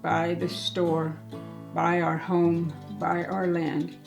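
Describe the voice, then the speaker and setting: Over clearly audible guitar music, an elderly woman speaks in a slightly low voice. She is plainspoken and halting, showing visitors around informally as the keeper of a small museum and shop. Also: little pitch movement. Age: 80s. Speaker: museum and gift shop keeper giving a tour. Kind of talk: informal guided tour.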